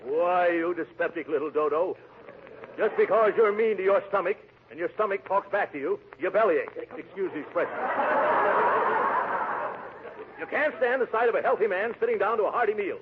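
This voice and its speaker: A man's voice: high voice, a little fast, close to an everyday voice. An actor playing a pompous, jovial, gluttonous big man in a blustery, chuckling 1940s radio comedy style. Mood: indignant. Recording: noisy tinny 1940s radio broadcast.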